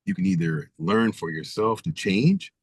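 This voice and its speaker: A man's voice: low voice, big pitch swings, measured pace, no room sound, clean studio sound. A man guest author in an interview, speaking emphatically and stacking rhetorical questions. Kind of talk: interview conversation.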